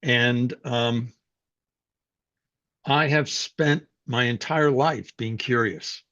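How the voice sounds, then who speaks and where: An older man from New York City, speaking as a guest and telling his life story in a reflective, unhurried way with frequent fillers. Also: mid-range voice, a bit bright, no room sound. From New York City, USA. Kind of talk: personal life story, conversational talk. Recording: dull, dry sound.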